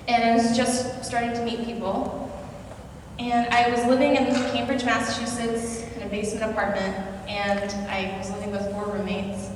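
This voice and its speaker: A woman's voice: a little high, noisy background. Young woman with an American accent in an echoey hall. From Singapore. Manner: conversational, acts out dialogue with shifting voices. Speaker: conference speaker. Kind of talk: personal storytelling talk. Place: university conference hall. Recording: distant, echoey hall with reverb.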